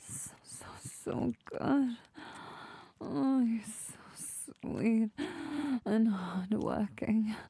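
A young woman whispering softly and soothingly into a close microphone in an intimate ASMR roleplay. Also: mid-range voice, vocal fry, slightly rough. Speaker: audio roleplay performer.

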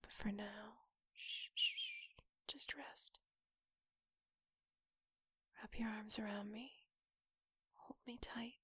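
A woman performing an intimate audio roleplay in a soft, breathy whisper, close to the microphone. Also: on the high side, wildly swooping pitch, slow, long pauses, dry recording.

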